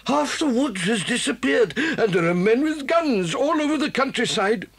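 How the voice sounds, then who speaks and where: A British actor voicing an anxious, fussy, good-natured badger in a children's audio story, blustering and plaintive. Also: male voice, extremely high pitch, animated, moderate pace, creaky, fairly bright tone, slightly rough, full character voice. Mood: distressed, despairing.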